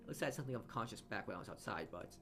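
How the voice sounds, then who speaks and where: Male let's player, low register, reads story text aloud, trips and restarts, game music underneath. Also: expressive, rapid-fire, gravelly. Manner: stumbles and corrects himself while reading. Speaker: let's player reading a visual novel aloud. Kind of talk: reading game narration aloud with asides.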